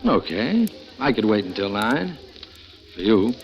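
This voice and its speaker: A brooding jealous husband. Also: male voice, big pitch swings, measured pace, a little hiss or hum. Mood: smooth flirting. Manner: low, confiding first-person narration. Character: brooding jealous husband narrating his scheme. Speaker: narrator and lead. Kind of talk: 1940s radio drama acting. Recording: dull old radio broadcast with music.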